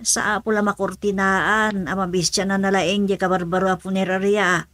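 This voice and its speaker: A woman's voice: medium pitch, level tone, moderate pace, fairly bright tone, no room sound, lightly acted. Lady doing a fussy housewife, mid voice, grumbly, radio comedy drama. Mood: muttering.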